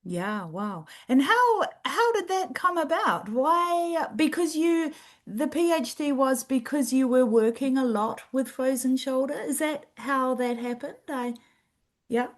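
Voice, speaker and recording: High-voiced New woman hosting. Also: expressive, measured pace. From New Zealand. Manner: encouraging, with short affirmations. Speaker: podcast host. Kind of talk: conversational podcast interview.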